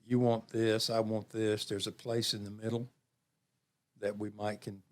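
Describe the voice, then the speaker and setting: A man in his seventies talking reflectively as an interview guest, measured, with pauses and phrases repeated for emphasis. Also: lowish voice, little pitch movement. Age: 70s. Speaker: interview guest, community program leader.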